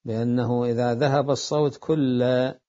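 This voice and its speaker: Male lecturer, mid-pitched, methodical and repetitive delivery; muffled lo-fi audio. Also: fairly even intonation, mellow. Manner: deliberate, repeats phrases to explain. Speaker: seminary jurisprudence teacher. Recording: dull lo-fi lecture recording.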